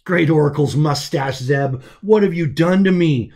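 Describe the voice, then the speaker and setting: A man's voice: on the high side, measured pace, a little mellow, voice pushed up. A man puts on an anxious young-hero pilot for a sci-fi audiobook. He sounds exasperated and confused, exclaiming with agitation like a captive out of his depth. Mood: outraged. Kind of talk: dramatic audiobook character voice.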